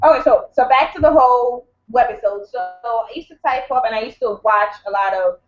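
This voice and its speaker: A woman's voice: on the high side, a little fast. A Nigerian-born woman co-hosting a casual chat, rambling and joking as she talks. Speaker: co-host. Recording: echoey room, dull lo-fi sound.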